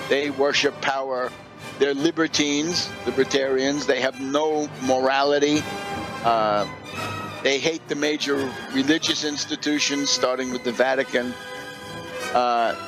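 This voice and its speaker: An older man hosting a political radio commentary, speaking in an emphatic, scornful, declamatory way. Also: on the high side. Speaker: radio show host.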